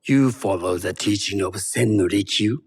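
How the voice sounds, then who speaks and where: An actor playing a composed, shrewd Japanese tea master in a video game, speaking in a measured, formal, guarded way. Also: male voice, fairly low pitch, slightly rough. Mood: instructive, composed. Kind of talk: video game cutscene acting.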